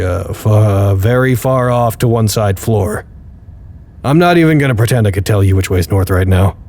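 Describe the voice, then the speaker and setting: A man's voice: fairly low pitch, darkish tone. A man playing a weary, dutiful researcher who records formal, articulate status logs with dry wit in an audio drama. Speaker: narrator. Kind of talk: audio drama log narration.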